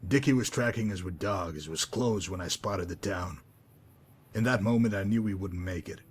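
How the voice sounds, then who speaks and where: A man playing a calm, menacing hitman with a bitter past in a video game, speaking in a measured, deliberate, coolly condescending way. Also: low voice, slightly rough. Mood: bitter, reflective. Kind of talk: dramatic video game mod monologue and dialogue.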